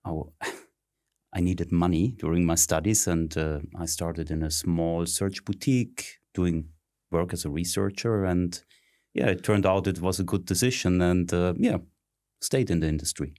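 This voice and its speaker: Guy with a really deep voice, middle aged, lots of ums and uhs, chatting in an interview. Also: darkish tone. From Switzerland. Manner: halting, many ums and uhs. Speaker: interview guest, search consultant. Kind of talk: conversational interview.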